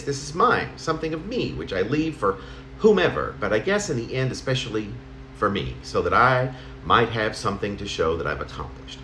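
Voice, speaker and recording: A mature man with a fairly low voice reads his own poem in a very echoey room. His pitch moves around a lot as he goes, halting and conversational, like a personal letter read aloud. A faint noise sits behind him. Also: fairly bright tone. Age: middle-aged or older. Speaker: poet reading own work. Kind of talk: spoken poem reading in the form of a personal letter. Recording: roomy home recording, faint noise.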